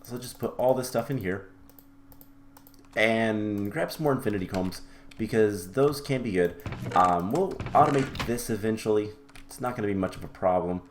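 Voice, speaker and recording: A young man with a cold and a slightly raspy, hoarse voice, chatting casually through a modded game with lots of ums and self-corrections. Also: lowish voice, normal speed, a little hiss or hum. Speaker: gaming channel host. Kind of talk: casual Minecraft modpack let's-play commentary.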